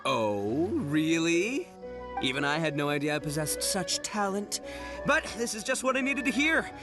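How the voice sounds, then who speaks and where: A boastful young gang leader in a video game. He is brash, childish and theatrical, with very lively swings in pitch. Music plays clearly behind him. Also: moderate pace. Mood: cocky. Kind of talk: English video game dub.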